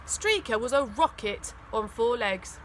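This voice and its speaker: Lady reading a kids book aloud, super expressive, bright voice, bit of background noise. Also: on the high side, a little slow. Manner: expressive, dramatic emphasis. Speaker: school teaching assistant reading aloud. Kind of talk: animated children's story reading.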